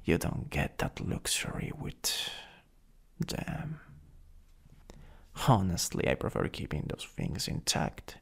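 A man with an Italian accent playing a jealous, flirtatious supervillain in an intimate ASMR roleplay, breathy and often whispering. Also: low voice, huge pitch swings, full character voice. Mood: teasing, intimate. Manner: slow, teasing, lingering delivery. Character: jealous, possessive, teasing flirtatious male supervillain.

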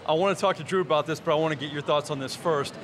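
Tv sports host guy, talks quick and a bit high, noisy background. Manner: brisk, leading questions. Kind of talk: sports interview questions. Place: studio set with team backdrop, indoors. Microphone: handheld microphone.

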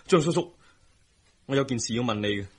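An insistent young man in a Hong Kong Cantonese radio drama. He talks fast and urgently, pressing his demands, with a slightly rough husky edge. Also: medium pitch, expressive. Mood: urgent, demanding.

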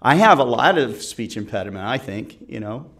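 A man's voice: mid-range voice, expressive, moderate pace, fairly bright tone. Middle-aged man, playful teasing host. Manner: playful teasing, then reflective. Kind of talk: casual video call chat. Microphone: video call.

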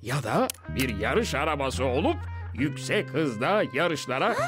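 An actor playing a proud, encouraging teacher vehicle in a children's cartoon, theatrically addressing a class. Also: male voice, medium pitch, big pitch swings, unhurried, over loud music. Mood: grand, encouraging. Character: male teacher vehicle, grand, encouraging, proud of his job. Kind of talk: children's cartoon dub acting.

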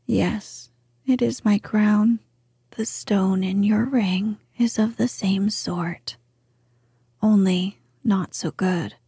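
A woman voicing a gentle, wise old grandmother in a soft whisper, reading a storybook. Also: mid-range voice, fairly even intonation, slow, husky, dry recording, clean studio sound, heavily acted. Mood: calm, tender.

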